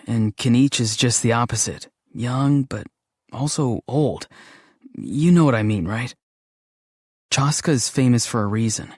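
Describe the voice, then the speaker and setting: A gentle, dreamy young man from a fantasy game, performed by a male actor, speaks in a mid-pitched voice. He muses in a deadpan, slightly hesitant and awkward way. The sound is close and dry, with no room around it. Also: dry recording, natural voice. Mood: earnest, awkward. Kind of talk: scripted game character voice lines.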